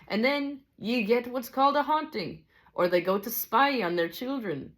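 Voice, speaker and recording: A woman voicing a wise old Scottish teacher, patient and deliberate, with an attempted Scottish accent and old-fashioned phrasing. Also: high-pitched, pitched above the performer's own voice.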